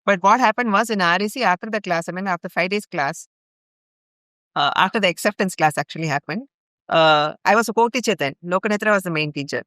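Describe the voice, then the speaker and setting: Middle-aged indian woman telling her story on a podcast, smooth clear voice, dry close sound. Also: fairly low pitch, fast, fairly bright tone, no room sound. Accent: Indian English. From India (grew up in Bhopal). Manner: earnest, recounts events in long chains with fillers. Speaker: guest, longtime ashram volunteer.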